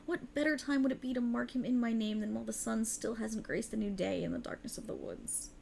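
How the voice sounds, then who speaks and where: Woman playing a haughty, creaky-voiced dark goddess. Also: on the high side, fairly quick, slight background noise. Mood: sadistic, seductive. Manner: condescending, taunting, theatrical, with breathy chuckles. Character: cruel, haughty otherworldly warlock patron, a seductive dark deity. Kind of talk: ASMR roleplay, scripted dramatic monologue.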